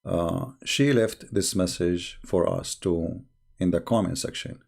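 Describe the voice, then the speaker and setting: A man hosting a live broadcast in a casual, halting way, his voice strained and tired. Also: low-pitched, creaky, a little mellow. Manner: halting, reads viewer messages aloud slowly. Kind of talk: casual live-stream talk.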